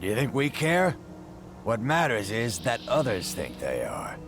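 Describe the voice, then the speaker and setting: An actor playing a cornered, scornful beast captive in a video game, agitated and contemptuous. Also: male voice, medium pitch, huge pitch swings, measured pace, music in the background. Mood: scornful, pleading. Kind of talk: dramatic video game acting.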